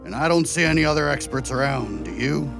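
Gravelly, theatrical bear guide acted by a man. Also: medium pitch, animated, a little fast, music in the background. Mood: wry, teasing. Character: big friendly bear guide, male, wry and formal. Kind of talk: children's audio drama acting.

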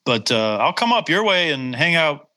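A man's voice: a little high, big pitch swings, normal speed, bright, forceful tone. A middle-aged man from Wisconsin, a guitarist with a slight Upper Midwest accent, chatting casually in a rambling, self-deprecating way with many restarts. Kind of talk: casual chat.

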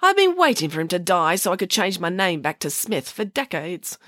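A woman's voice: fairly low pitch, big pitch swings, normal speed, sharp tone, dry recording, clean studio sound, heavily acted. A woman voicing a blunt, complaining old lady in a children's story. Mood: grumbling.

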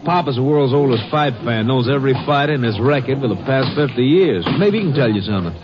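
Man playing a lively, obliging gym man. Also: mid-range voice, fast talker, natural voice. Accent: American. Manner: obliging, talky, musing. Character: obliging, chatty male boxing gym man. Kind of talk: 1950s radio drama acting. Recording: noisy muffled 1950s radio recording. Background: loud noise.

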